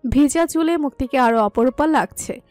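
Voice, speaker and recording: A woman's voice: high-pitched, normal speed, darkish tone. A woman reading scripted narration of a romantic story as its storyteller. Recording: music under the voice.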